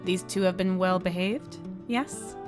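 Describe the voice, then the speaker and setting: A woman's voice: on the low side, fairly even intonation, unhurried, close to an everyday voice. A woman voicing an approving, encouraging adult schoolteacher in a story. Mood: gently encouraging. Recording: music behind the voice.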